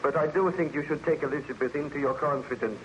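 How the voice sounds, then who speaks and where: An actor playing a cautious, disapproving gentleman friend with formal, earnest diction in an old-time radio drama. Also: fairly high pitch, fast talker, lo-fi sound, close to an everyday voice. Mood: reluctant. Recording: slightly noisy vintage radio recording.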